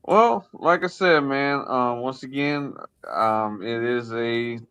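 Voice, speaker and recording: A man's voice: medium pitch, takes it slowly, pushed and bright, clean tone. A man co-hosting a sports livestream, chatting casually in a rambling, hesitant way. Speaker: sports show co-host and interviewer. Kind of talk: casual, unscripted livestream chat.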